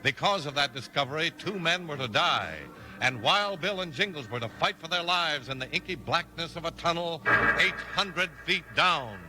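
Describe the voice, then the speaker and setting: A man's voice: expressive, normal speed, rough recording. A man with an American accent announcing and narrating in an emphatic, polished 1950s radio style. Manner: emphatic, polished sales pitch. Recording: vintage radio broadcast. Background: music.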